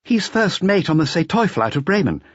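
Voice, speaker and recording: A man's voice: high voice, very animated, fairly quick, no echo, pitched above the performer's own voice. A serious young married woman, acted by a male audiobook narrator talks politely and guardedly in a raised voice. The recording is dry and slightly dull. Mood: guarded explaining.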